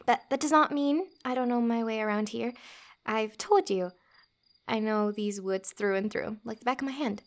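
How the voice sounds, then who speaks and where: A woman acting a playful, guarded young forager in a fantasy ASMR roleplay, with a teasing, halting delivery. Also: fairly high pitch, lightly acted. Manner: teasing, halting, breathy little laughs.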